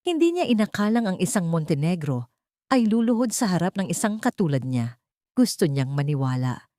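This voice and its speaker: A woman narrates a dramatic love story in a low register. The sound is dry, with no room on it, and the delivery is that of a scripted audiobook storyteller. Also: very animated, moderate pace, warm tone, no room sound.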